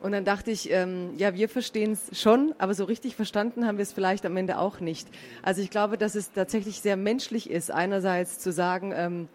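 A woman speaking as a panel guest, telling relaxed anecdotes. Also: mid-range voice, a little fast, hardly any pauses.

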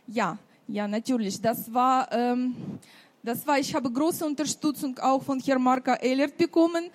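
Frau mit russischem Akzent, langsam, hoch. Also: female voice, sits high, steady tone. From Ukraine. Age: 50s. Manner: halting, many fillers, non-native grammar. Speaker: children's author. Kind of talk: book fair presentation.

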